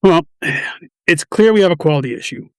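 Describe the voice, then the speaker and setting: A man's voice: fairly high pitch, animated, moderate pace, smooth, no room sound, studio quality, close to an everyday voice. A man playing a stressed, defensive quality assurance manager in a radio play, touchy and wry. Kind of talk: amateur radio play dialogue.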